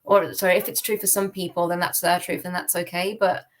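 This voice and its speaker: Low-pitched young British woman. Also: level tone, fairly quick, slight background noise. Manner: informal, reflective, frequent "like" and "you know". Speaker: podcast guest giving testimony. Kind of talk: candid personal storytelling in an interview.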